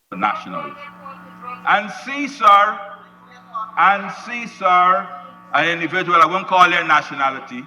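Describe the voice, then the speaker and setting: Loud, insistent man heard from afar. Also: on the high side, in a large hall, far from the mic, low-quality recording. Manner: loud, insistent, repeating sir. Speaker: member of parliament. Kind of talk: parliamentary speech.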